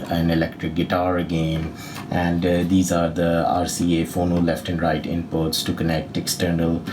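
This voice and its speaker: A man giving a casual, unscripted equipment tutorial as a demonstrator, speaking in a hesitant, halting way with frequent pauses. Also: deep voice. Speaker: product demonstrator, video host. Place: indoor room by windows. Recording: noisy room with steady background hiss.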